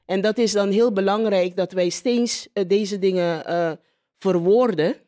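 Woman being interviewed, normal pitch, talking off the cuff, keeps searching for words and repeating. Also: measured pace, dark tone. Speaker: interviewee, local politician. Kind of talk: spontaneous personal account in interview.

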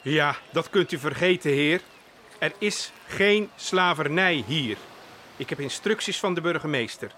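Man acting bright-voiced, firm old-time port official. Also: on the high side, animated, measured pace, slight background noise. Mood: firm, dismissive. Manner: firm, declarative. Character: firm sixteenth-century Dutch port official. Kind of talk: audio drama dialogue.

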